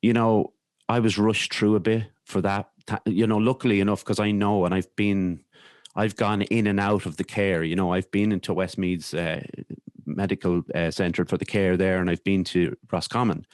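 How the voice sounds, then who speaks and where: An Irish man speaks in a low voice as an interview guest. He tells a personal story reflectively, often restarting and repeating words. The sound is dry, with very little room on it. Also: little pitch movement, normal speed, a little mellow, no echo. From Ireland. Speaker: podcast guest.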